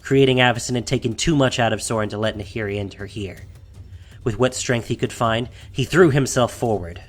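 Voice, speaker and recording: A man's voice: medium pitch, fairly quick. A man reading scripted fantasy fiction as the narrator of an audio drama, in a dramatic storytelling style. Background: faint music.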